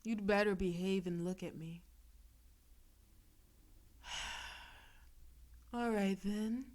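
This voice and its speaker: A woman's voice: mid-range voice, a little mellow. A woman playing a possessive, demon-possessed girlfriend in an intimate audio roleplay, sweetly condescending and teasing with veiled menace. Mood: controlling, menacing. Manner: slow, coaxing, teasing, with pet names and veiled threats.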